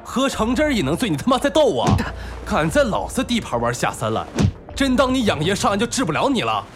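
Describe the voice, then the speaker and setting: An actor playing a brash, bantering, foul-mouthed bar owner and loyal buddy in a Chinese audio drama. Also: male voice, high voice, wildly swooping pitch, brisk, over loud music. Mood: outraged.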